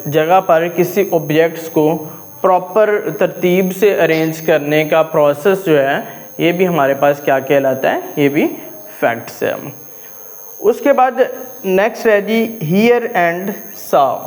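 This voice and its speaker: A man with a Pakistani accent and a high-pitched voice gives an explanatory lecture. He speaks slowly, spelling out formal clauses word by word. He then explains each one, often adding little tags to check that listeners follow. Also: darkish tone, low-quality recording. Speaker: law teacher. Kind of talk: classroom-style explanatory lecture mixing Urdu with English legal terms.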